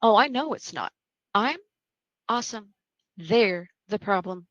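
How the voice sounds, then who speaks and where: A woman playing a cocky, sardonic young psychiatric patient, self-assured and deadpan in a script read. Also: mid-range voice, a little slow, no echo, highs cut off, lightly acted. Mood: smug. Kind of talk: dramatic table read of a screenplay.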